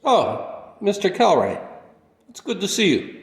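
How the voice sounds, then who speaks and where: An actor playing a gentle, humble, lonely drifter in a radio play, speaking with polite, mild sincerity. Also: male voice, high-pitched, huge pitch swings, a little slow, vocal fry, exaggerated voice. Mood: pleasantly surprised. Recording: echoey, reverberant room.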